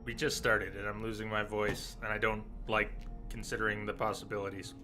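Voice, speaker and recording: A hoarse man with a sore, scratchy throat, chatting casually through a live game stream and clearing his throat often. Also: lowish voice, level tone, fairly quick, music in the background. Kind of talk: casual live gameplay commentary.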